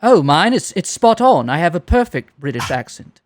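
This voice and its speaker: Teasing Southern host on a dry mic. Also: male voice, on the high side, big pitch swings, no echo. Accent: American Southern. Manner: teasing, enthusiastic, quick to joke. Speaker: stream host. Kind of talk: casual chat on a live stream.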